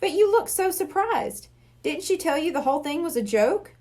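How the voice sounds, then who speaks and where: A woman's voice: extremely high pitch, lively intonation, moderate pace, darkish tone, voice pushed up. A woman reading the part of a friendly, playful middle-aged male hypnotist in a children's storybook, courteous and jovial. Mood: amused.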